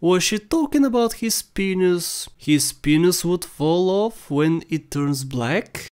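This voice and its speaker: A man with a high-pitched voice narrates a gaming countdown. He talks casually and shares his opinions in a voice-over. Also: lively intonation, slow pace, a little mellow, smooth. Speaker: video game countdown narrator.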